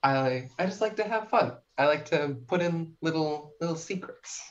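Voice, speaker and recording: A woman's voice: deep voice, animated, normal speed. Young Canadian woman, dull lo-fi audio. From small town in New Brunswick, Canada. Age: young adult. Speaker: student writer, podcast guest. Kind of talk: casual interview chat.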